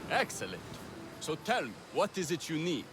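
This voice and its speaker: Pompous, animated proud Greek, noisy ambience. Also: male voice, fairly high pitch, moderate pace. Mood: haughty. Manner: pompous, theatrical. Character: proud, melodramatic, honour-obsessed Greek family man. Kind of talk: video game cutscene acting.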